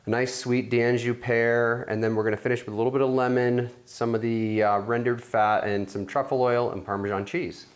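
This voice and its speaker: A man in his thirties, a chef, calmly explaining a salad recipe in a home kitchen with plenty of ums. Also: mid-range voice, fairly quick, hardly any pauses. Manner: relaxed, explanatory, many ums. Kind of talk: cooking demonstration. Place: large home kitchen, indoors.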